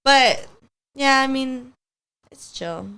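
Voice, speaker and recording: A woman's voice: high-pitched, expressive, takes it slowly, bright, forceful tone, clear, resonant tone. Chatty young woman, Spanish first language. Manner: rambling, heavy use of "like", upbeat. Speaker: podcast guest, hair stylist. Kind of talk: casual chat.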